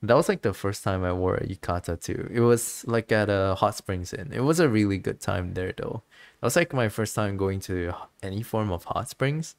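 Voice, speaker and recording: A man's voice: fairly low pitch, expressive, normal speed. A man streaming a game with casual, musing commentary, a little hesitant as he thinks aloud.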